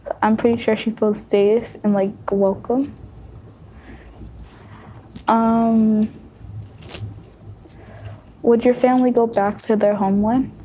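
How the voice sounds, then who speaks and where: Slow, soft-spoken young woman. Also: fairly high pitch, flat delivery, slight background noise, poor audio. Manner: soft, hesitant, many ums. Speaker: student moderator asking questions. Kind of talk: casual panel discussion.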